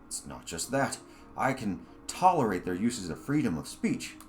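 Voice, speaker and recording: A man voicing a forceful, self-righteous leader in a story, rallying followers with emphatic, oratorical speech. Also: fairly low pitch, sing-song, moderate pace, soft background music. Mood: grave indignation.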